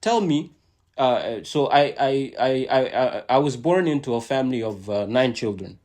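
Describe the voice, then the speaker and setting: A man with a mid-range voice, neither high nor low, talks earnestly on a podcast. English is not his first language. He often repeats words and keeps asking "right?" in a loose, conversational rhythm. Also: animated, normal speed, a little mellow. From immigrant to Canada. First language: a language other than English or French. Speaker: podcast host.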